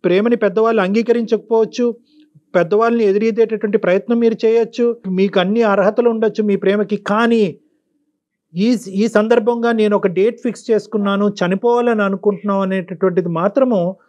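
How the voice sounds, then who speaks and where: A man's voice: high-pitched, fairly even intonation, a little fast, a little mellow. Muffled male doctor giving emphatic counsel. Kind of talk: advisory counselling talk.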